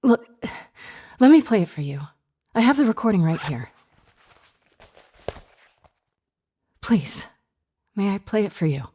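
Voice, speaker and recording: A woman's voice: medium pitch, very animated, dark tone, husky. A woman playing an anxious, earnest grief counselor in an audio drama, speaking in a hesitant, insistent way. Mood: insistent, nervous. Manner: hesitant, halting, earnest pleading.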